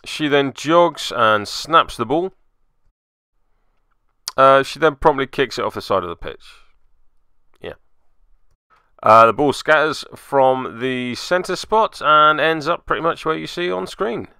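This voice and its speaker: A man's voice: medium pitch, slow pace, pauses often, sharp tone, clear, resonant tone, no room sound, clean studio sound. A man hosting a tabletop game web series, giving chatty voice-over commentary with wry, cheeky asides. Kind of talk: casual scripted-feel commentary over game footage.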